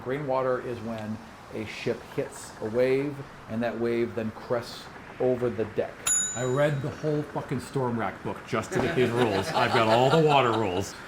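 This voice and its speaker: Male game master, noisy room recording. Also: medium pitch. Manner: informal, conversational, swears casually. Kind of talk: narrating a tabletop role-playing game.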